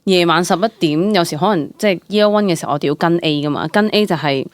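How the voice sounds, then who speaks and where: A young woman with a Hong Kong Cantonese accent chats casually as an interview guest, in an animated, colloquial, exclamatory way. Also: fairly low pitch, fast, no echo. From Hong Kong, Southern District. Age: young adult. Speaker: actress, podcast guest.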